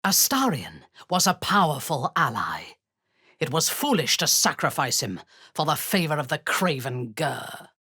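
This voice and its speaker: A woman playing a ruthless, bloodthirsty warrior commander in a video game, with a husky, breathy voice and haughty, formal, biting delivery. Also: deep voice, sing-song, bright, forceful tone, dry recording, clean studio sound, heavily acted. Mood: cold disdain. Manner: haughty, measured, formal diction with biting disdain. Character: ruthless, imperious female warrior and cult commander, bloodthirsty, darkly amused. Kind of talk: video game character dialogue.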